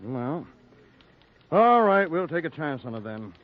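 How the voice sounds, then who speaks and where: An actor playing a measured, businesslike newspaper city editor in a 1940s radio drama. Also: male voice, a little high, sing-song. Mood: brisk, measured. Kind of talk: 1940s American radio noir acting. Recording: dull 1940s radio recording.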